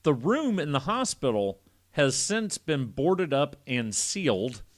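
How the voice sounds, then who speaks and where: A man's voice: mid-range voice, big pitch swings, takes it slowly. Man, podcast panelist, casual storyteller, irreverent and sweary, mid-range voice. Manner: irreverent, profane, joking.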